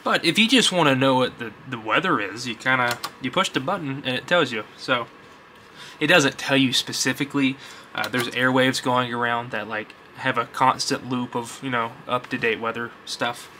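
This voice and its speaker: A young man with a very bright, forceful voice and an ordinary mid-range pitch talks in a rambling, informal way. He sounds like a hobby vlogger doing an unscripted show-and-tell, with faint noise behind him. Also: moderate pace. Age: young adult. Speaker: host of a vintage electronics collecting channel. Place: basement.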